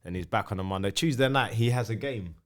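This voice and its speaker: A man's voice: on the low side, fast. A young man, a podcast co-host recounting a football match with energy. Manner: energetic, builds a story step by step. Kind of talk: animated storytelling.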